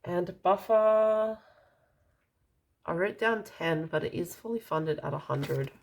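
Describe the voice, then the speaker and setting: Woman, Australian accent, low voice, slow pace, slightly croaky, casual budgeting narration. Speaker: budgeting vlogger. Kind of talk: casual narration while counting cash.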